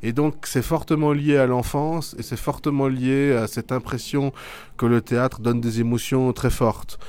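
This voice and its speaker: Thoughtful man with frequent pauses. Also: mid-range voice, steady tone, a little mellow, clear, resonant tone. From Belgium. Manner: thoughtful, hesitant with many fillers. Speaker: theatre artist and teacher. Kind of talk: school media interview, reflective answers.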